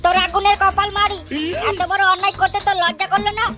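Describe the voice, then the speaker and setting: A performer voicing a crude, meddling sidekick in a comedy cartoon, squeaky and excitable. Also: fast talker. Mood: indignant. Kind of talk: comedy cartoon dialogue in colloquial Bengali. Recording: loud music behind the voice.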